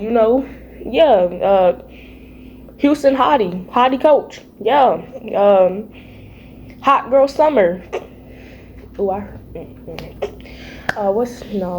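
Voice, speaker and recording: A raspy-voiced teenage girl talking casually about her faith at home, chatty and playful, calling her viewers y'all and baby. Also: medium pitch, mellow, slight background noise. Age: teens. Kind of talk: casual faith vlog chat. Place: home, early morning.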